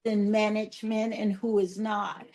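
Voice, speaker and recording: An older woman guest on a podcast, talking in a soft-spoken, measured and earnest way, with many pauses and fillers. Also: mid-range voice, level tone. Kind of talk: reflective interview talk.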